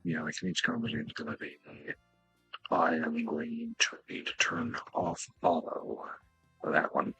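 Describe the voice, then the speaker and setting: A man with a husky, gravelly voice doing casual, rambling game commentary in a halting way. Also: low-pitched, huge pitch swings, bright, forceful tone, soft background music, poor audio. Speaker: game streamer. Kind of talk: casual let's play commentary, sometimes reading game lines aloud.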